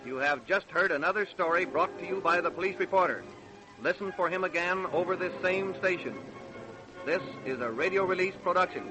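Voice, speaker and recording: A man's voice: high-pitched, fairly quick, music in the background, close to an everyday voice, rough recording. A male actor playing a formal, authoritative figure in an old-time radio crime drama, with declamatory delivery. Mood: announcing.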